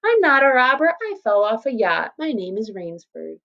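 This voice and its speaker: A woman's voice: a little high, very animated, normal speed, vocal fry, dull audio, pitched above the performer's own voice. A woman reading a storybook part as a confident, reassuring male hunter who has been shipwrecked. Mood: cocky, reassuring. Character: cocky, self-assured male shipwrecked hunter, introducing himself calmly.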